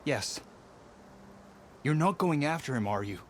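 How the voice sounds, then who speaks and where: An actor playing a shaken young soldier and climber in a video game, speaking plainly and earnestly. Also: male voice, fairly high pitch, animated, unhurried, a little hiss or hum. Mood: concerned. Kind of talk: video game character acting.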